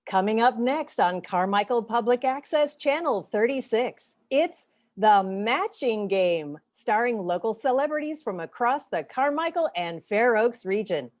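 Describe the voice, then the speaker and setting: A woman's voice: fairly high pitch, animated, a little slow. Woman as a local TV continuity announcer. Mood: promotional. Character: local public access TV continuity announcer plugging upcoming show. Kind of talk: TV promo announcement.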